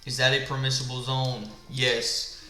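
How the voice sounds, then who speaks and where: Tired man with a bright, gravelly voice. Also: medium pitch, monotone, measured pace. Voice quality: gravelly, smoker-like voice. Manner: thinks aloud, asks and answers his own questions. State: tired late at night. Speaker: trading instructor. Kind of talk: live screen-share trading walkthrough. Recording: echoey room with faint background music.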